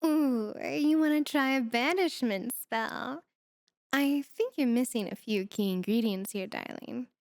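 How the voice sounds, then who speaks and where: A woman's voice: a little high, a little slow, darkish tone, no room sound. A woman voicing a teasing, confident succubus demoness in an ASMR roleplay, with a sultry, coaxing manner. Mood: coy, mocking.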